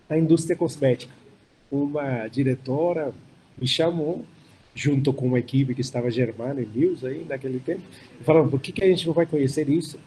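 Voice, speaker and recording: Older Chilean-accented man, mellow voice. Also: on the high side, slight background noise, low-quality recording. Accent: Chilean Spanish. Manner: unhurried, many fillers and restarts. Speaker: startup founder panelist. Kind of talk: hybrid panel talk.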